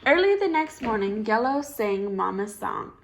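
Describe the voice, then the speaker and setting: A woman's voice: a little high, sing-song, normal speed. A woman reading a picture book aloud to a child, encouraging and often echoing answers back as questions. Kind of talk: dialogic storybook reading with questions.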